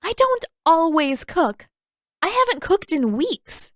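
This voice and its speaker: A woman voicing an exasperated, sharp-tongued fourteen-year-old girl in an audiobook, challenging and sarcastic. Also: very high voice, sing-song, takes it slowly, no room sound, clean studio sound, voice pushed up, exaggerated voice. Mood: irritated. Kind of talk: audiobook character voice.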